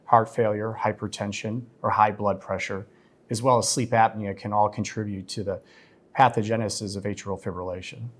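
Measured man with a lowish voice. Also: flat delivery. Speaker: guest physician and researcher. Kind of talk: explanatory podcast interview.

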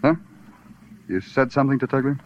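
An actor playing a wry, hardboiled New York police detective in 1940s radio noir, dry, clipped and sardonic. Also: male voice, medium pitch, a little fast, lightly acted. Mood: deadpan. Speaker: lead actor and narrator. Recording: muffled old radio recording with music.

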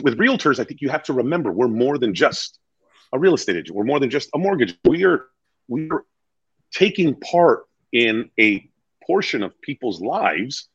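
A man talking conversationally as an interview guest, earnest and emphatic, telling stories and acting out dialogue in a deep voice. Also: a bit bright, slightly rough, no echo, muffled recording. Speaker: real estate agent being interviewed as a guest.

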